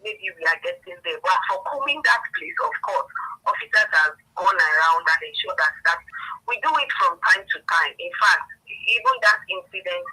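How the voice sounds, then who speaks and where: A woman's voice: fairly low pitch, expressive, moderate pace, sharp tone, husky. A woman with a Nigerian accent answering as a police spokesperson in a radio interview over a phone line, earnest and instructive, repeating points for emphasis. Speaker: police public relations officer, interview guest.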